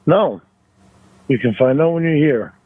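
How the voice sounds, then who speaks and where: Man on a tinny phone line, halting. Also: a little high, expressive, crowd noise. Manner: halting, repeats himself, defensive. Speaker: phone caller. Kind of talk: argumentative private phone call.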